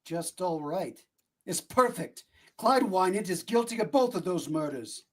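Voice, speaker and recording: A man's voice: high voice, lively intonation, unhurried, fairly bright tone, heavily acted. Man acting police inspector, confident, insistent, old-time radio detective drama. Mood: triumphant, insistent.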